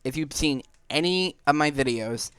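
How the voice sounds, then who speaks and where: A man hosting a gaming video, explaining things informally in a halting, casual way as he plays. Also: a little high, fairly bright tone, slight background noise. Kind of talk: casual gameplay commentary. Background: faint game music.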